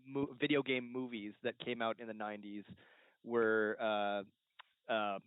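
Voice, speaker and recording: A man on a podcast panel chatting casually, haltingly searching for his words. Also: on the low side, level tone, clear, resonant tone, no room sound. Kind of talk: casual chat. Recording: muffled narrow-band phone-like audio.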